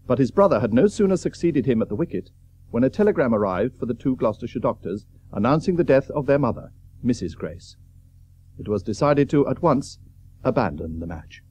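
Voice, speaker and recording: An actor narrating a period cricket almanac in a radio play, formal and measured. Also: male voice, mid-range voice, expressive, darkish tone, breathy edge. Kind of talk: scripted radio drama narration. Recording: hissy old radio broadcast recording.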